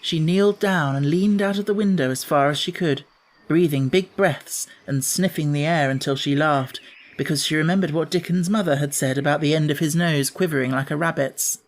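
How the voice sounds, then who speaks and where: A man's voice: fairly high pitch, moderate pace. A man reads as an audiobook narrator. His delivery is scripted storybook narration, telling a children's tale.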